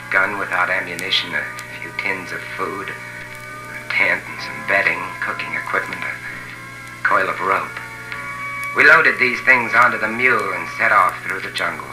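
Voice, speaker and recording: A man's voice: deep voice, roomy sound, low-quality recording. A man acting as a weary, grim jungle survivor who recounts his ordeal in dramatic 1950s radio style. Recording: old radio transcription, boxy, hissy.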